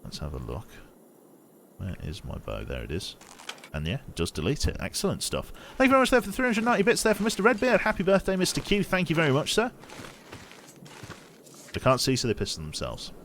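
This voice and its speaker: A man's voice: fairly high pitch, wildly swooping pitch, a little fast, a bit bright, slight background noise. A man streaming a game in casual commentary, chatty and talking through each action aloud, sounding slightly sniffly and congested. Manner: chatty, muttering his actions aloud as he plays.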